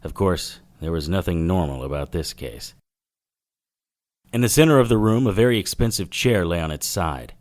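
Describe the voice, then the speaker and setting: Hardboiled 1940s detective: low pitch, dry sardonic wit, noir radio-play delivery. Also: male voice, lively intonation, normal speed. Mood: grim, wry narration. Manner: wry, sardonic. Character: wisecracking hardboiled 1940s male private eye. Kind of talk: noir radio drama acting with narration.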